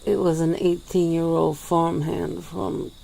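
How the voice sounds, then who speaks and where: A woman voicing a centuries-old female vampire, calm and regretful, speaking slowly and formally in an ASMR roleplay. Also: deep voice, creaky, slight background noise, heavily acted, rough recording. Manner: slow, measured, formal speech.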